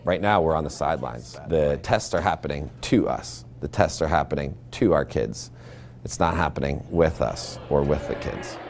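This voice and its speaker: A man's voice: very deep voice, moderate pace, gravelly, a little mellow, a little hiss or hum. An American man, a schoolteacher, talking plainly in an interview. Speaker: seventh grade history teacher. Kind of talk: plain-spoken interview talk.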